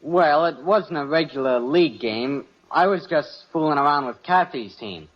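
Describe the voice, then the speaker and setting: A man's voice: fairly high pitch, normal speed, natural voice. An actor playing an American teenage boy, boastful yet bashful, in an old radio sitcom. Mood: bragging, bashful. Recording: muffled vintage radio broadcast.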